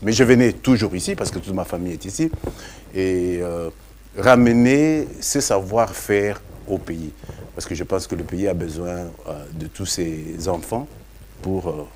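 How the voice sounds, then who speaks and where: A man with a Congolese accent explains himself as an interview guest, haltingly, often repeating words. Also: low voice, darkish tone, slight background noise. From Democratic Republic of the Congo. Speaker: interview guest, parliamentary candidate.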